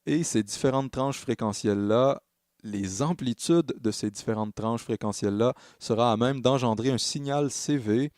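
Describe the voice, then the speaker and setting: A man narrating a software tutorial as a music production tutor, explaining in a halting way and correcting himself as he goes. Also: mid-range voice, no room sound, studio quality. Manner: hesitant, halting phrasing with self-corrections.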